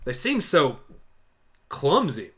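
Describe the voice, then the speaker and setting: A man's voice: medium pitch, wildly swooping pitch, slow pace. A man voicing a blunt, stubborn young country pony in a fan audio drama. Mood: puzzled. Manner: folksy, blunt, exclamatory. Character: plain-spoken, stubborn young country mare pony turned human.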